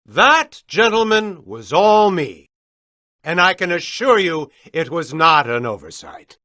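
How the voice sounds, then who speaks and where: A man's voice: a little high, very animated, sharp tone, dry recording, clean studio sound, exaggerated voice. An actor playing an officious, indignant male city bureaucrat in a video game, pompous and precise in his delivery. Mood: smug, stern. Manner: pompous, clipped, deliberate enunciation.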